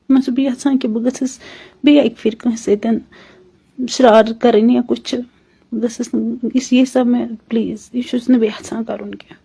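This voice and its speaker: A woman's voice: fairly high pitch, creaky, warm tone, breathy edge, lo-fi sound. A woman with a Kashmiri accent making a pleading personal appeal in a recorded phone message, often repeating her requests.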